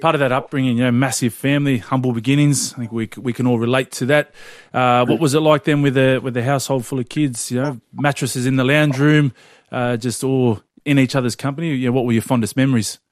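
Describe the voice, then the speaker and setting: A man's voice: medium pitch, steady tone, no room sound, clean studio sound. A man co-hosting a casual interview in a chatty, jokey way. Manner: chatty, jokey, quick to laugh. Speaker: interview co-host.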